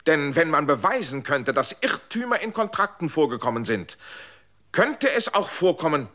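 A man's voice: on the high side, big pitch swings, moderate pace, bright, forceful tone. Earnest questioning man, radio play, voice swings up and down a lot. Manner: earnest, probing, lecturing questions.

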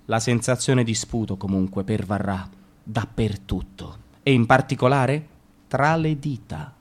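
A man delivers a theatrical radio reading at a mid pitch that neither sits low nor climbs high. His tone is wry and sardonic, and he throws in sudden leaps of pitch as he reels off lists. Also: unhurried. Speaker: radio drama reader. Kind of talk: theatrical reading of a comic monologue.

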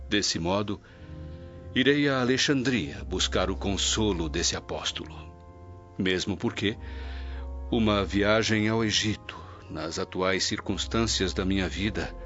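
A Brazilian man playing a troubled, courteous old Roman nobleman in a radio drama, speaking in a solemn, formal, measured way. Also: deep voice. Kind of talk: dramatic radio soap acting. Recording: dull lo-fi radio drama recording. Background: loud dramatic music.

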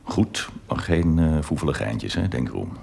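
An actor playing a gruff, greedy, wary prison guard who talks curtly in a radio drama. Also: male voice, very deep voice, sing-song, normal speed, darkish tone, slight background noise, heavily acted. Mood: wary, grasping. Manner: curt, gruff.

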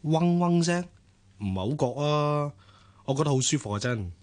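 An actor playing a polite, bookish, gently flirtatious gentleman in a radio drama, with a Hong Kong Cantonese accent. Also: on the high side, expressive, moderate pace, lightly acted. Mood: gently courteous. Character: courteous, bookish gentleman, friendly and attentive suitor.

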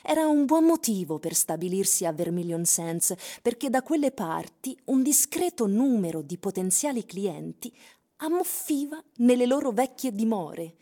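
A woman narrating a literary radio drama in measured, expository storytelling phrases. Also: fairly high pitch, big pitch swings, dark tone. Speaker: actress narrator.

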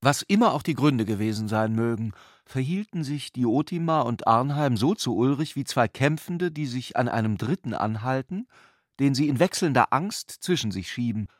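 A man performing as the contemplative narrator of a literary radio drama, with deliberate, reflective phrasing. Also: medium pitch, animated, no echo, studio quality, lightly acted.

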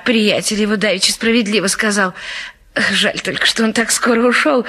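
An actress playing a naive, bashful young bride-to-be in a classic stage comedy, coy, genteel and earnest. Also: female voice, mid-range voice, fairly bright tone, breathy edge.

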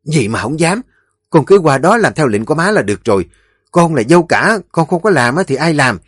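A man voicing a stern old mistress of a household who barks orders in a shrill, commanding tone. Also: sing-song, a little fast, no room sound, clean studio sound. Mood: scolding.

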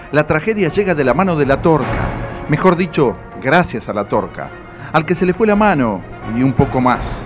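An actor with an Argentine accent narrating a comic radio drama as a solemn, mock-dramatic announcer. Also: male voice, medium pitch, lightly acted.

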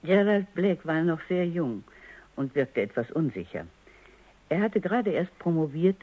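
A woman's voice: low voice, breathy edge. An authoritative woman scientist of a radio play. She speaks in formal, precise phrases with a widely moving intonation. The recording is slightly muffled. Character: authoritative, exacting female robot expert, narrating and questioning. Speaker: narrator and investigator. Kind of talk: German radio drama acting.

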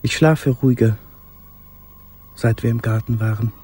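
An actor playing a sorrowful young letter writer in a radio drama, measured, earnest and intimate. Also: male voice, medium pitch, fairly even intonation, warm tone, soft background music, close to an everyday voice.

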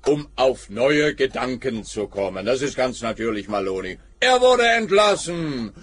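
An actor in a radio play playing a smug, dismissive police officer with an officious, condescending manner and a husky voice. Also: male voice, medium pitch, sing-song, measured pace, a bit bright, heavily acted. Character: smug, dismissive male police officer, happy with easy answers.

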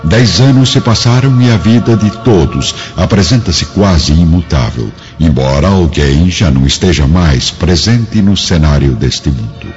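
Male narrator, Brazilian accent, extremely low voice, expressive, solemn radio drama narration, lo-fi sound. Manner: solemn, measured phrasing. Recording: dull lo-fi recording over music. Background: music.

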